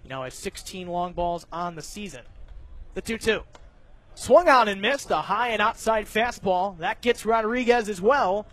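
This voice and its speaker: A man giving live baseball radio play-by-play commentary, narrating each pitch in measured detail. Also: animated, fairly bright tone. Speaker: play-by-play announcer.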